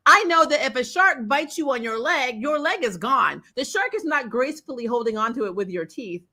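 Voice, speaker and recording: A woman co-hosting a casual comic book chat, telling things in an animated, sarcastic way with mild swearing. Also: high voice, brisk. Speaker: co-host of a comic book discussion stream. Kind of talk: casual fan chat, reading and answering viewer comments.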